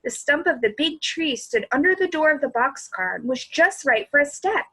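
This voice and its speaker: A woman reading a storybook aloud to children in an expressive, animated way, speaking directly to her young listeners. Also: sits high, moderate pace.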